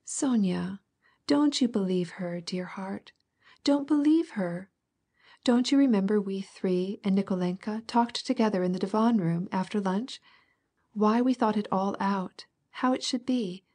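A lively, affectionate young girl comforting her cousin, as a woman reader puts her on. She speaks eagerly and tenderly, close to the reader's own voice. The tone is soft and slightly breathy. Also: mid-range voice, moderate pace, natural voice.

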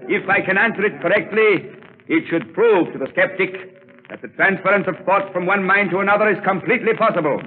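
An actor as a high-pitched, solemn stage mentalist. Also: male voice, lightly acted. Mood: commanding. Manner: formal, theatrical, deliberate. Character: solemn, commanding stage mentalist addressing his audience. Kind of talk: 1930s radio drama acting. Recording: crackly, narrow-band 1939 radio broadcast.

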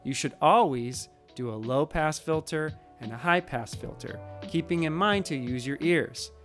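A man's voice: a little high, a little slow, faint music behind. Man, thirties, explanatory, casual tutorial tone, close studio mic, small home studio. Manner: relaxed, explanatory, conversational. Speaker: audio engineer teaching. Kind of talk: screen-recorded tutorial. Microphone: studio mic in frame, wearing headphones.

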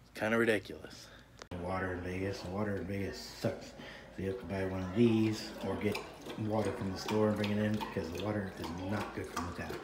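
A man in his thirties talks casually to his phone camera in his living room, relaxed and chatty. His voice sits a little low. The phone recording carries steady background noise. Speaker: vlogger. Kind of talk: casual home vlog. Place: living room at home, indoors. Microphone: phone held at arm's length.

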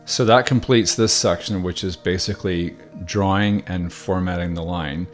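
A man calmly explaining software steps one by one in a screen-recorded tutorial, with the odd filler. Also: low voice. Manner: relaxed step-by-step explaining with fillers. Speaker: video tutorial host.

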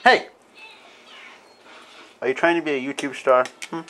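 A man's voice: on the high side, normal speed, bright, forceful tone. A young man filming a home video in a kitchen, joking and teasing in casual banter. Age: young adult. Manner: teasing, wisecracking. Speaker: vlogger filming. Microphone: handheld camera mic.

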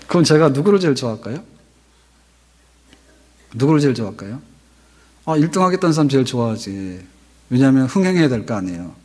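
A man's voice: medium pitch, a little mellow, breathy edge. A man in his fifties preaching a sermon from a church pulpit microphone, talking conversationally and asking the congregation rhetorical questions. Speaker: pastor. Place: large church sanctuary, at a pulpit. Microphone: pulpit microphone on stand. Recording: long hall reverb.

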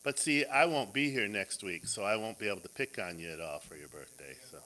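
A man's voice: fairly low pitch, a little fast, bright, forceful tone. An older man, a pastor, chatting casually and teasingly with his congregation in a small church. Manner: playful, teasing. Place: small church sanctuary, at pulpit.

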